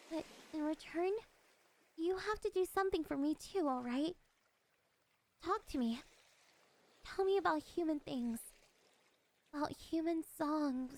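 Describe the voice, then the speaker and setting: A woman playing a lonely, possessive siren in an ASMR roleplay, speaking in a wistful, gently coaxing and politely menacing way. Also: high voice. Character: lonely, possessive female siren, curious and soft-spoken. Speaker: voice actress.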